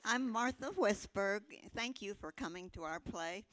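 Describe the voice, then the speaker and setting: A woman's voice: medium pitch, a little slow, fairly bright tone, slight background noise. An older woman giving a courteous closing thank-you address as a play presenter.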